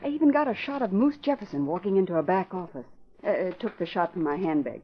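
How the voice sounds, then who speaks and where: Woman as a spirited girl photographer, animated, brisk, faint hiss, old radio play. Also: lowish voice, natural voice. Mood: eager. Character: plucky young female newspaper photographer. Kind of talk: 1940s radio acting. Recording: muffled vintage radio recording with faint hiss.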